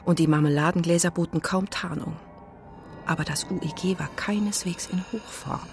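A woman narrating a children's radio play as a wry, suspenseful storyteller, expressive and with pointed pauses. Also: on the low side, faint music behind, close to an everyday voice. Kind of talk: dramatic scripted storytelling for children.

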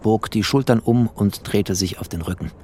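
An actor playing a bookish, wry narrator, speaking in clipped poetic phrases in a literary radio play. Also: male voice, lowish voice, normal speed, darkish tone, a little hiss or hum, close to an everyday voice. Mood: lyrical, intimate. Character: bookish, wry, lyrical male narrator, a resettler.

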